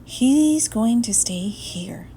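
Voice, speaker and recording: A woman voicing a kindly but firm mother from a storybook, in a calm, matter-of-fact manner. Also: fairly high pitch, drawn-out words, soft background music, exaggerated voice.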